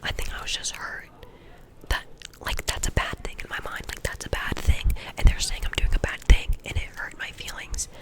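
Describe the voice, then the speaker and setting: A young woman getting over a cold, softly telling rambling, self-deprecating stories from her school days in an ASMR whisper. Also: normal speed. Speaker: vlogger.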